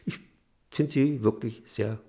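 A man's voice: medium pitch, takes it slowly, mellow. An older man recalling his mother in an archival interview, hesitant, repeating words and stressing his points.

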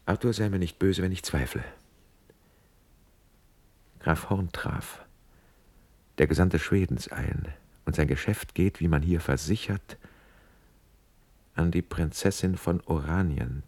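An actor playing a grave, loyal officer friend in a classical verse radio drama, speaking in an earnest, admonishing manner. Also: male voice, low-pitched, takes it slowly, long pauses, a little mellow, exaggerated voice. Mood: grave, concerned.

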